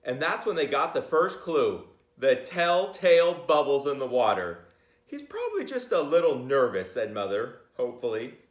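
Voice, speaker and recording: American man reading aloud, very animated, echoey room. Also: mid-range voice. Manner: playful, theatrical suspense with drawn-out words. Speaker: teacher reading a picture book aloud. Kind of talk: children's read-aloud with chatty asides.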